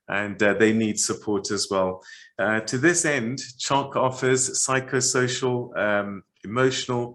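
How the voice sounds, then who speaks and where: Male host, 50s, mid pitch, warm and halting, video call. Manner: warm, halting with many uh fillers. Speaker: webinar host. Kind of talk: hosting a charity webinar. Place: studio set, indoors.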